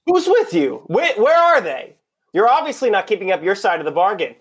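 Guy doing an edgy undercover cop in an audio drama, blunt and demanding, pitch jumps around a lot. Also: big pitch swings, a little fast, husky, heavily acted. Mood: impatient, wary. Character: impatient, foul-mouthed male undercover cop posing as buyer. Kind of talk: two-person mystery audio play.

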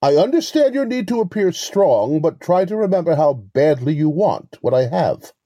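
An actor in a mystery audio play, voicing a wily relic con artist who talks in a measured, formal, condescending way. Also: male voice, a little high, big pitch swings, warm tone, no echo, clean studio sound. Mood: stern, pious.

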